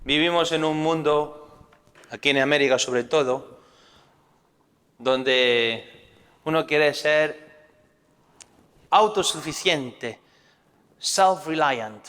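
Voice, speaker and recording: A priest from Spain with a Castilian Spanish accent delivers a sermon, his voice sitting a little high for a man. He teaches earnestly and poses rhetorical questions to his listeners. The sound carries a long reverb, as if in a large church hall. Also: very slow, long pauses, a bit bright, clean tone.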